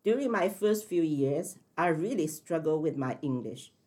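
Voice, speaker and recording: A woman in her sixties, a math teacher from China with a Chinese accent and Chinese as her first language, giving careful, measured interview answers. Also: sits low, animated, mellow. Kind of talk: interview answers, read from prepared text.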